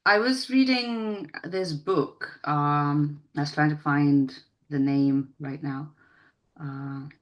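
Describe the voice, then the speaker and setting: A woman chatting casually as an interview guest, rambling and self-deprecating. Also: sits low. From Spain.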